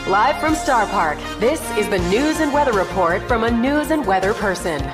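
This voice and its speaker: An actress playing a zany radio news and weather presenter, announcing in a showy, repetitive way over music. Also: female voice, on the high side, animated, normal speed, natural voice. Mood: showy, urgent. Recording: loud music behind.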